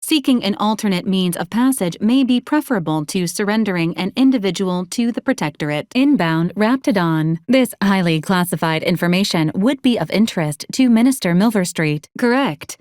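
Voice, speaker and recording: A woman's voice: mid-range voice, lively intonation, normal speed, hardly any pauses, a little mellow, dry recording, studio quality, lightly acted. A woman voicing a polite female robot companion in a video game, speaking in a formal, clipped, matter-of-fact and clinically detached way. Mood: cool, advisory. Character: polite, deadpan female robot companion unit assisting a commander. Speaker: robotic assistant. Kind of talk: scripted video game character lines.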